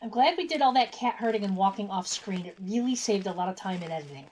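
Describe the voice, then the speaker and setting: A woman playing a brisk, wry gnome adventurer in a comedy audio drama. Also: mid-range voice, lively intonation, a little mellow, a little hiss or hum, natural voice. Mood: wry, curious.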